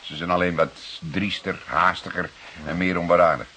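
An actor with a Netherlands Dutch accent playing a seasoned, wry, fatherly older police detective, speaking deliberately and a little ornately, as if lecturing, in a radio drama. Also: deep voice, steady tone, sharp tone. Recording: hissy old radio play recording.

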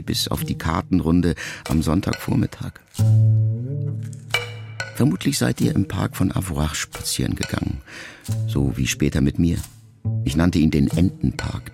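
A reflective middle-aged son in a radio play, speaking in a very low, heavily creaking man's voice. He addresses his mother directly, measured and introspective, with music clearly behind his words. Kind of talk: literary radio play monologue.

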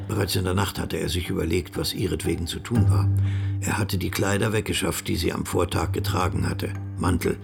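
A man reading scripted literary narration for a radio drama in a measured, grave way, with music underneath. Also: low voice, steady tone, creaky.

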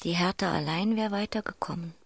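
An actress playing a hushed, bleak chorus narrator in a literary radio drama, with a gravelly, breathy voice. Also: female voice, lowish voice, fairly quick, no room sound, clean studio sound.

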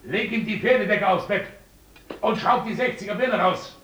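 An actor playing a bitter, domineering village miller who barks orders in a gruff, scornful way. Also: male voice, high voice, moderate pace, a bit bright. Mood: bossy, bitter. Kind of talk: radio play acting.

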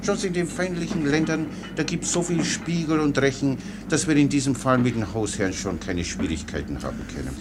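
An actor playing a guileless, chatty, foolish soldier orderly in a German radio play, rambling deferentially. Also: male voice, mid-range voice, expressive, brisk, hardly any pauses, close to an everyday voice. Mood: chatty, unruffled. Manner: rambling, naively unruffled, deferential. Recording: clear background noise.

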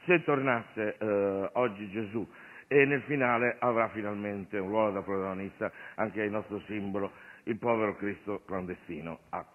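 A male stage comedian addressing an audience in a conversational, digressive monologue with hesitant pauses. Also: lowish voice, steady tone. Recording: phone-like radio broadcast of stage show.

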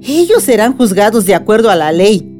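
A woman playing a wise older grandmother in a radionovela, earnest and deliberate as she consoles and persuades. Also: medium pitch, very animated, warm tone, soft background music, close to an everyday voice. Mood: earnest pleading. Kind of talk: radionovela acting.

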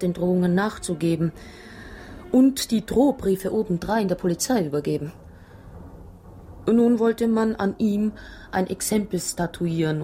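An actress in a German radio drama playing an anguished wife who tells her story in a dramatic, halting way. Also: on the low side, dark tone, a little hiss or hum, natural voice. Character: anguished Italian wife recounting a murderous secret society's threat.

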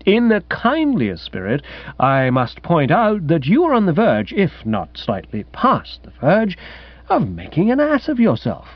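A breezy young upper-class English gentleman, performed by a man in a comic audiobook. The voice is high and swoops about with chirpy indignation and old-fashioned slang. The sound is dry and slightly dull. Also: wildly swooping pitch, measured pace, no room sound, exaggerated voice. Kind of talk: comic audiobook character acting.

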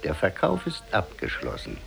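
An actor playing a sly, drawling animal trader in an old German radio play. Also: male voice, deep voice, huge pitch swings, moderate pace, creaky, breathy edge, full character voice, rough recording. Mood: sly, amused. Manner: drawling, chuckling. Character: sly, slow-talking monkey owner striking a bargain.

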